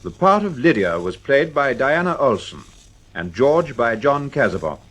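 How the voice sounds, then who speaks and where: A man's voice: mid-range voice, big pitch swings, moderate pace, fairly bright tone. A man reading scripted radio drama credits as a formal announcer.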